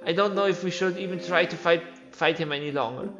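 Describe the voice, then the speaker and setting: A man who is not a native English speaker reads video game dialogue aloud in a theatrical, slightly halting way. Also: on the high side, dull audio, music in the background. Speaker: game playthrough creator voicing all characters.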